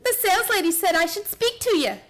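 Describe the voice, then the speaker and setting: A woman's voice: very high voice, lively intonation, brisk, fairly bright tone. A woman playing a brash, skeptical, tired mother in a radio play, with blunt, slangy, exclamatory talk. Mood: impressed.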